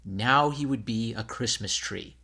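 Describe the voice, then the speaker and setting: A man's voice: medium pitch, unhurried, sharp tone, slightly rough. A man narrating a scripted children's story as a storybook reader.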